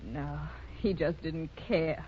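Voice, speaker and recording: An actress playing a worried, grieving young wife with bitterness, speaking haltingly and plaintively in 1930s radio drama style. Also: female voice, sits low, slight background noise. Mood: bitter. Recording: muffled, lo-fi 1930s radio recording.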